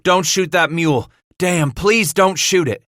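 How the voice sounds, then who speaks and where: A man's voice: fairly high pitch, lively intonation, a little fast, fairly bright tone, no echo, voice pushed up. A man voicing an earnest, stubborn young trapper in a western audiobook, pleading in rustic dialect. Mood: urgent pleading.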